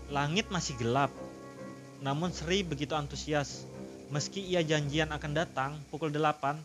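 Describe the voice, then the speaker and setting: A man narrating a horror story in a slow, savouring read-aloud, with his own commentary to viewers. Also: a little high, a bit bright. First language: not Javanese; Javanese not fluent. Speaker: storytelling content creator. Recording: music clearly behind the voice. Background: background music.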